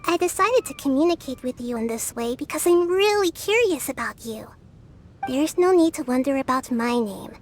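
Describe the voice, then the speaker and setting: A woman voicing a gentle, mysterious bird spirit in a video game, soft-spoken, serene and wistful. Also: high voice, lively intonation, normal speed, a little hiss or hum. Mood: serene, admiring.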